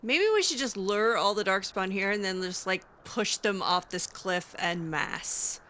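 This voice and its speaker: A woman's voice: mid-range voice, a little slow, pushed and bright, a little hiss or hum. A woman playing a video game in character, giving casual commentary with wry, sarcastic, skeptical asides. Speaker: video game player roleplaying as a mage character.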